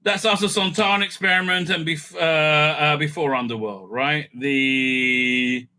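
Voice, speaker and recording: A man hosting a casual, off-the-cuff ranking commentary, animated and thinking aloud with frequent asides. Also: a little high, unhurried. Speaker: host ranking TV serials.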